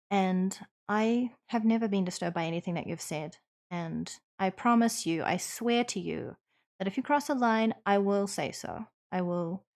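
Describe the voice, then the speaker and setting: NZ woman streamer, casual chat, sincere and reassuring, thinks aloud, mid pitch. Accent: New Zealand. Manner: earnest, reassuring, thoughtful with frequent ums. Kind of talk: casual chat answering viewers.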